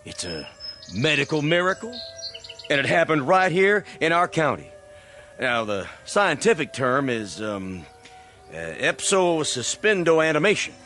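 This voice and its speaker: Flustered old country doctor. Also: male voice, a little high, very animated, measured pace, sharp tone, faint music behind, exaggerated voice. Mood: self-important. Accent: rural American. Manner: hesitant, repeating himself. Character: flustered older country doctor, defensive and self-important. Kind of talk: radio drama acting.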